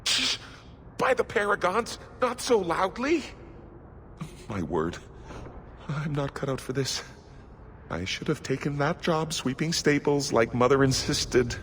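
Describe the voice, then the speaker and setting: An actor playing a nervous, polite and evasive dwarf smuggler in a video game, flustered and hesitant. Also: male voice, a little high, very animated, a little slow, pauses often, a bit bright. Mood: panicked. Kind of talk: video game character acting. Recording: hall reverb, faint ambient noise.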